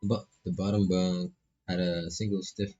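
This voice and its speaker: Male streamer for visual novel read-aloud: dark, husky tone, halting delivery with self-corrections. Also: low voice, little pitch movement, lo-fi sound, faint music behind. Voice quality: gravelly, husky. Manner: stumbles over words, repeats and corrects himself. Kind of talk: live read-aloud of narration and dialogue.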